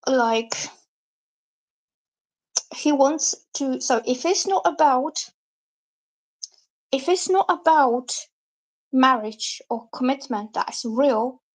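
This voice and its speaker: A high-voiced woman reads tarot cards on a live stream. She talks in a chatty, loose way and drifts from point to point as she explains the cards. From Bulgaria. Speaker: tarot reader.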